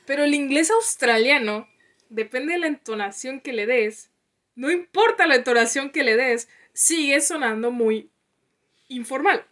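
Bright high-voiced young Mexican woman. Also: expressive, measured pace. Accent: northern Mexican. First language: Spanish. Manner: chatty, teasing, laughs often. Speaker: co-host, sister. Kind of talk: casual chat.

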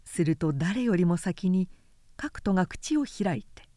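A woman narrating a literary audiobook as a professional, with measured, carefully articulated delivery. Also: lowish voice, expressive, dark tone, no echo, clean studio sound.

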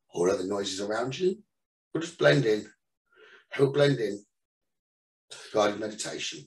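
A man leading a guided meditation as the teacher, giving soothing instructions in short phrases with pauses between them. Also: medium pitch. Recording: roomy echo, distant from the mic.

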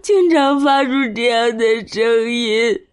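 A woman doing a slow, mopey little boy. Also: fairly high pitch, pitched below the performer's own voice. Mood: tearful. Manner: slow, drawn-out, mumbling with sighs. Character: sluggish, tearful little boy. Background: soft music.

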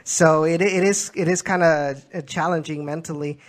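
A man's voice: a little high, steady tone, normal speed. A man hosting a soccer show, interviewing a guest in a conversational, somewhat hesitant and wordy way.

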